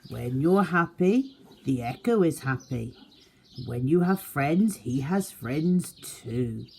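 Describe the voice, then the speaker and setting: A woman voicing a wise old male beaver in a children's storybook, calm and kindly, explaining things gently in a measured way. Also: deep voice, creaky, breathy edge, exaggerated voice. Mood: reassuring.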